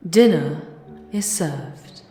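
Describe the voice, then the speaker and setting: A woman's voice: sits low, lively intonation, extremely slow, dark tone, soft background music, full character voice. A woman playing a formal herald at a vampire gathering in an audio drama, announcing grandly with echoey hall reverb. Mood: ceremonial, ominous.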